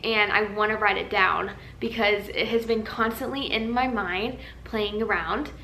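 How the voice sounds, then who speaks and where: A young woman vlogging in a casual, chatty way, tired and a little raspy from a nap, in a large empty house. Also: mid-range voice, measured pace, bright, forceful tone, reverberant, slight background noise. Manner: chatty, rambling, earnest. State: tired, slightly raspy from just waking up. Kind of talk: casual chat to camera. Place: large empty house used as a wedding venue.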